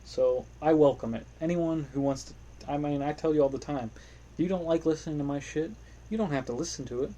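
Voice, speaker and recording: A man's voice: on the high side, fairly quick, mellow. A young man hosting his own podcast alone, rambling in a casual, self-deprecating way and swearing freely. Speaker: podcast host. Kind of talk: casual solo podcast monologue. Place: home room, playing a video game.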